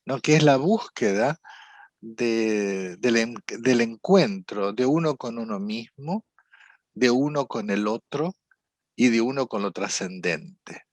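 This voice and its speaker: A man's voice: medium pitch, lively intonation, takes it slowly, dry recording. Reflective man. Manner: thoughtful, rambling, frequent "¿no?" tags. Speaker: interview guest, psychodramatist. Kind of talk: reflective conversational interview.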